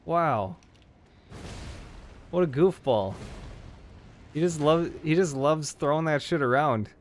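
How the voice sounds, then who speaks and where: A man with a hoarse voice, casually commenting on his gameplay and joking at the enemies on screen. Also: on the high side, slow pace, a little hiss or hum. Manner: jokey, talks to enemies on screen. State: hoarse from screaming. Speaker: game streamer.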